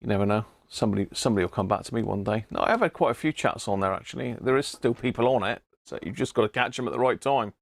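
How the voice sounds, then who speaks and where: A man's voice: lowish voice, fast, vocal fry, hardly any pauses, no echo, clean studio sound. A British man hosting a casual hobby livestream, rambling and thinking aloud with chummy asides to viewers. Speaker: livestream host, CB radio hobbyist. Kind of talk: casual live chat to viewers. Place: indoor radio room.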